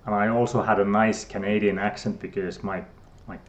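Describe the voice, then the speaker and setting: A man's voice: fairly low pitch, little pitch movement, brisk, muffled recording. A middle-aged man from Finland with a Finnish accent, a guest scientist who talks hesitantly with many fillers and restarts. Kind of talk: casual interview talk.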